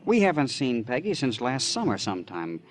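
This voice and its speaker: A man's voice: medium pitch, huge pitch swings, normal speed. An actor playing an impatient, indignant young American man, testy and protesting, in an old-time radio drama. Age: young adult. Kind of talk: 1940s-50s American radio drama acting. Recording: noisy vintage radio recording.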